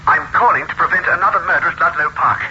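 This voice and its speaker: A man's voice: fairly high pitch, quick pace, background noise, close to an everyday voice. An actor playing a troubled man hunting a murderess in an old-time radio thriller, speaking with dramatic, insistent urgency. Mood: urgent. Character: troubled man narrating his hunt for a murderess. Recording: muffled old radio recording with music.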